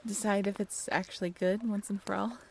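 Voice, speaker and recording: A woman playing a shy, earnest half-mermaid in an intimate audio roleplay, hesitant and soft-spoken, often slipping into breathy whispers. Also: mid-range voice, steady tone, a little fast, very noisy, close to an everyday voice. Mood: playful, teasing.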